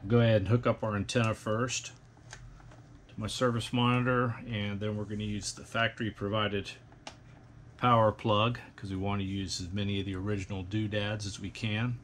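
American male reviewer, middle-aged, low pitch, methodical technical delivery, faint noise behind the voice. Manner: measured, precise technical explaining. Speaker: host, radio equipment reviewer. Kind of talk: instructional bench review narration. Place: indoor electronics workbench.

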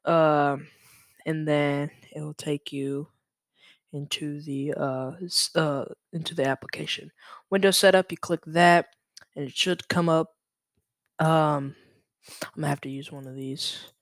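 A young man talks through a software tutorial at a slow pace. His delivery is informal and halting, as he thinks aloud, and the sound is dry and close, with no room echo. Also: a little high, steady tone. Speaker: tutorial presenter. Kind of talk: casual screen-recorded software tutorial.

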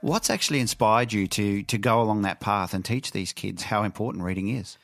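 A man's voice: on the low side, a little fast, vocal fry, fairly bright tone, faint music behind. An Australian man speaking casually as a podcast host in an interview chat.

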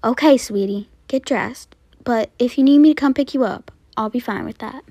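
Woman as an anxious, high-voiced caring mom. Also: normal speed, dark tone, dry recording, close to an everyday voice. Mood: worried, tender. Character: anxious, caring, protective mother of a schoolgirl.

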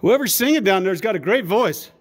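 A man's voice: sits high, lively intonation, a little fast, a little hiss or hum. A man narrating a casual off-the-cuff vlog, rambling and thinking aloud in a folksy way. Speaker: vlogger exploring a cave. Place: cave trail.

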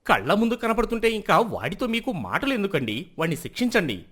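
A man's voice: high voice, sing-song, quick pace, a bit bright, pitched above the performer's own voice, full character voice. A man voicing an indignant, impatient wealthy village merchant in a moral story, complaining and insistent. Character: indignant wealthy village merchant demanding punishment, hiding guilt. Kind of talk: dramatised moral story acting.

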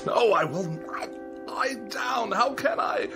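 An actor playing a fussy, irritable middle-aged hypochondriac husband in a radio drama, pompous and sarcastic. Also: male voice, high voice, lively intonation, slow, vocal fry, over music, heavily acted. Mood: outraged, self-pitying.

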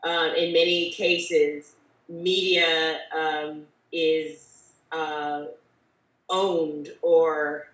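A woman in her thirties talks slowly and earnestly in a lowish voice. She chooses formal phrases, and the video-call sound is dull and distant. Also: level tone, echoey room. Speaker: panelist. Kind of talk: earnest panel discussion. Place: bedroom at home.

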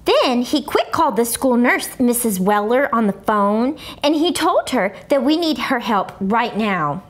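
An excitable little schoolgirl played by a woman tells her storybook journal in a high voice. She is blunt and dramatic, swooping widely in pitch and taking her time with heavy, exaggerated emphasis. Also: a little slow. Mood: dramatic, earnest. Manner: childlike bad grammar, exaggerated emphasis. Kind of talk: expressive children's book read-aloud.

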